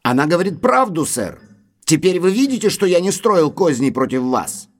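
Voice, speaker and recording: An actor playing a grave, deferential butler with wounded dignity in an audio drama. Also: male voice, on the high side, sing-song, normal speed. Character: dismissed butler, husband, wounded, stiff and respectful. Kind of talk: Russian audio drama acting.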